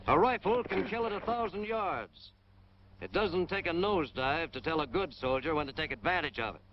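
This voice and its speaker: High-pitched American man, old noisy film narration. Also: lively intonation, moderate pace. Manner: hard-boiled, punchy, emphatic delivery. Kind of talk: 1940s military training film narration. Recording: dull, noisy 1940s film soundtrack.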